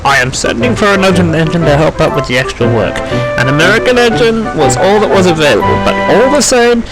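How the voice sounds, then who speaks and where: A man's voice: sits high, animated, fairly quick, loud music behind the voice, pitched above the performer's own voice, poor audio. A man voicing a stern, fussy thin railway controller in a children's train story, speaking firmly and emphatically. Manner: firm, officious, emphatic.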